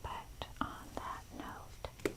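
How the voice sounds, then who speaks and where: Whispering woman, slow rambling ASMR. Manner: rambling, self-deprecating, casually sweary. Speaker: ASMR video creator.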